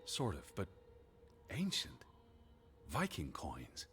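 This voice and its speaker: An actor playing an educated, dismissive American male naturalist of the 1920s in a video game, speaking in a measured, formal, pedantic way. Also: deep voice, huge pitch swings, fairly bright tone, faint music behind, full character voice. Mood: pondering. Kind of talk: scripted game dialogue and reading aloud.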